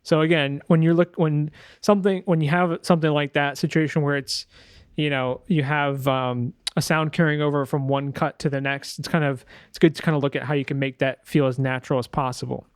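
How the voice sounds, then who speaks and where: Higher-voiced man, smooth and clear, casual tutorial. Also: fairly even intonation, fairly quick, no echo, clean studio sound. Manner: informal, thinking aloud, self-correcting. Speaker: sound designer presenting a tutorial. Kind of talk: casual screen-share software walkthrough.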